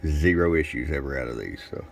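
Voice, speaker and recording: A man's voice: rumbling low, animated, fairly quick, creaky, a little hiss or hum. A plain-spoken, folksy man giving a casual, off-the-cuff hands-on product demo at a workbench as a shop technician. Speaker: radio shop technician and presenter. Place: indoors at a radio workbench.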